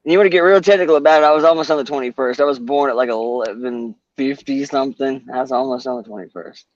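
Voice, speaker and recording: A man's voice: mid-range voice, quick pace, dull audio. A man in his early forties on a livestream panel, rambling and self-mocking, with plenty of swearing. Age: 40s. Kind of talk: casual chat.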